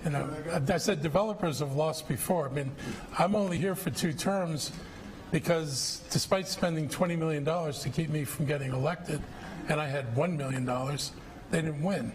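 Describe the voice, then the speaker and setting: A man's voice: fairly high pitch, normal speed, crowd noise, poor audio. A middle-aged man at a public budget forum, answering questions in a blunt, combative, plain-spoken way. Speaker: county executive answering questions.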